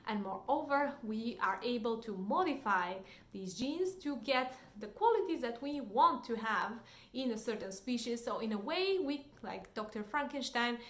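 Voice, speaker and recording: A woman's voice: on the high side, a bit bright. A woman with a Bulgarian accent explaining ideas informally as a book reviewer. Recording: roomy, echoing indoor sound.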